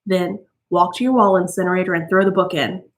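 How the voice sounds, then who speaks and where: Woman reader as a nervous older man pleading in urgent, hushed tones; audiobook figure. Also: on the low side, fairly even intonation, fairly quick, natural voice. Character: anxious older man whispering in an ear.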